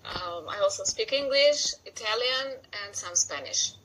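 Woman, forties, Russian accent, chatty, searches for words, bright voice. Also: fairly high pitch, lively intonation, measured pace, low-quality recording. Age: 40s. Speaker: guest. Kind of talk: casual podcast chat.

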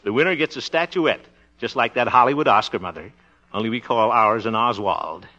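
An actor playing an excitable, boastful but good-natured grown son in a 1950s radio sitcom, hammy and blustery. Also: male voice, medium pitch, fairly quick, natural voice. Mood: eager, boastful. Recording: dull old radio recording.

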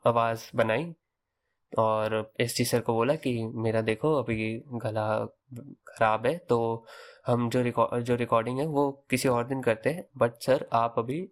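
A man's voice: medium pitch, steady tone, measured pace. A young man hosting a podcast, telling a story in a loose, conversational way with English words mixed in. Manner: conversational, Hinglish fillers and restarts. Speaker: podcast host. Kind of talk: casual storytelling.

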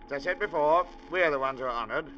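An actor in an old radio drama playing a pompous, effusive small-town judge who speaks like an orator. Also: male voice, a little high, fast talker, close to an everyday voice. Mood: effusive, flattering. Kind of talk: 1950s radio drama acting. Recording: muffled, lightly noisy old radio recording.

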